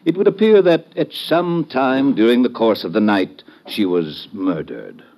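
A pompous, swooping old-radio professor. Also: male voice, mid-range voice, animated, a little slow, background noise. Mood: solemn, lofty. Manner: florid, verbose, lofty orotund phrasing. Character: pompous, long-winded philosophizing professor, a toga-clad tippler. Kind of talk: old-time radio comedy-detective acting.

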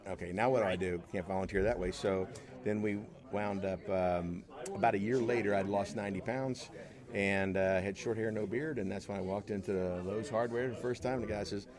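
Older-ish guy interview guest, lower voice, jokey storyteller doing the quotes, crowd noise behind. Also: steady tone, a little fast. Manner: jokey, acts out quoted dialogue. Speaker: interview guest, costumed charity volunteer. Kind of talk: casual anecdotal storytelling. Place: convention booth in a media room. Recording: busy convention crowd behind him.

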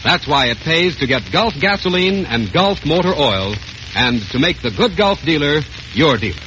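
A man's voice: a little high, very animated, normal speed. An American man announcing in scripted 1930s radio sponsor style. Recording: muffled old radio broadcast with music.

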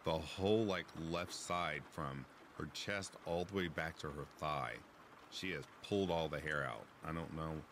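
Deep, low-voiced man chatting on stream. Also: noisy background. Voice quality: deep, raspy. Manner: relaxed, chatty, reads chat aloud. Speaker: livestream host. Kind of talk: casual chat with live chat.

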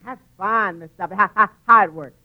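A muffled old radio recording of a man acting a wisecracking tavern waiter with a very high-pitched voice. He is long-suffering and dry, stammering over repeated words as he lands his quips in 1940s comedy style. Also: brisk, lightly acted. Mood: dry, sardonic.

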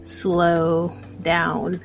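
A woman's voice: on the low side, monotone, extremely slow, soft background music, rough recording. A woman in her thirties chatting casually in a personal vlog, rambling and hesitant as she reflects on herself. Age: 30s. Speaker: vlogger.

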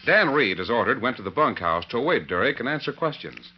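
A man's voice: medium pitch, very animated, brisk. A man narrating a radio western in a formal, dramatic announcer style. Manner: formal, crisp storytelling delivery. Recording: muffled old radio recording. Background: music.

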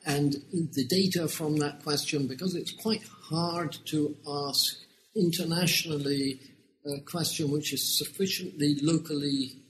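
An older man with a British accent, a guest explaining research in a measured, wry way during an interview. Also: a little high, fairly even intonation, a little hiss or hum, poor audio. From United Kingdom. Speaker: education researcher, guest author.

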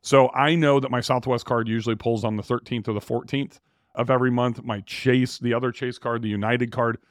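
Guy hosting his show, a bit raspy and tired, rambly chat, dry close mic. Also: medium pitch, steady tone, brisk, no echo. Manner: rambling, conversational, self-correcting. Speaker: podcast host. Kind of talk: casual solo talk on real estate finance.

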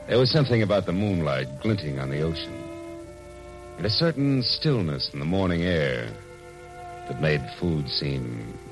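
An actor playing a wry, easygoing, flirtatious private detective in a 1940s radio drama. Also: male voice, very deep voice, heavily acted, poor audio. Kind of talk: 1940s radio drama acting and first-person narration. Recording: old radio recording with music behind.

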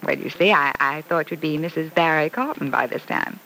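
A woman's voice: low voice, brisk, vocal fry, bright, forceful tone, exaggerated voice. A woman playing a gushing, sweetly catty mother in an old radio soap, effusive and theatrical with a cooing sweetness. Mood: concerned, catty. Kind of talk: old-time radio soap opera acting. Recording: noisy vintage radio recording.

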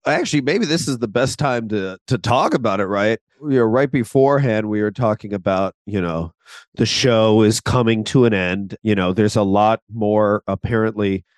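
A man's voice: fairly low pitch, moderate pace, no echo. A man co-hosting a podcast, talking in a rambling, reflective way. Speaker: podcast co-host. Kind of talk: casual podcast chat.

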